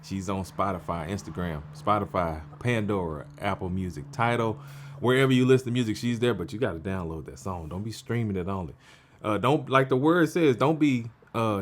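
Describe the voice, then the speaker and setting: A man hosts an interview with a low, swooping voice that rises and falls a lot. He talks casually and jokes with his listeners, urging them on like a preacher. A faint hiss of noise sits behind him. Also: animated, moderate pace. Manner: playful, preachy, joking with the audience. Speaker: interview host.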